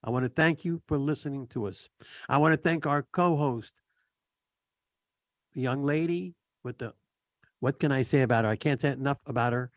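A man's voice: mid-range voice, moderate pace, pauses often, no room sound, lo-fi sound. A man hosting a radio talk show, thanking his co-hosts and team in a loose, rambling way. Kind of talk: casual radio chat.

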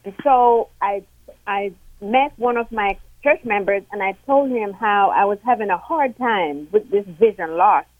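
A woman's voice: medium pitch, measured pace, no echo. Woman from Jamaica on a phone line. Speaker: guest, board member. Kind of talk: personal story on radio.

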